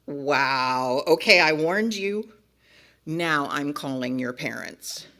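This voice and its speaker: A woman's voice: deep voice, lively intonation, bright, forceful tone, exaggerated voice. A woman reading a picture book aloud as a frantic, bossy narrator who scolds and begs the reader in an exasperated, melodramatic way. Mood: exasperated, scolding. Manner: exasperated, melodramatic, emphatic pleading with drawn-out warnings. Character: frantic, bossy, pleading storybook narrator begging reader to stop. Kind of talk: dramatic children's picture-book read-aloud.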